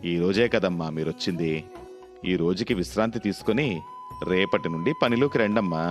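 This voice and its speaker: Guy doing polite helpful working man in a cartoon, low voice, reassuring, music under. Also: normal speed, in a large hall, natural voice. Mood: obliging. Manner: courteous, reassuring.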